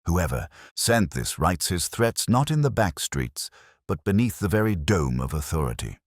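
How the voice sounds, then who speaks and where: Guy reading as a sharp victorian detective, super low voice, swingy intonation, formal and decisive, audiobook style. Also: very animated, moderate pace, dry recording, clean studio sound. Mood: analytical. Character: brilliant, incisive, unyielding male Victorian London detective.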